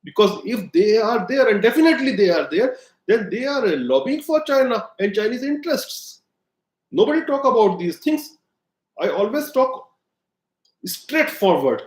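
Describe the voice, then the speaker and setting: A man with an Indian accent, a native Hindi speaker, talks in a fairly high voice. He delivers blunt, emphatic commentary, often pausing on "uh". The voice rings in an echoey room. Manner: blunt, emphatic, frequent "uh" fillers. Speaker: political commentator. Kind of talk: solo commentary monologue.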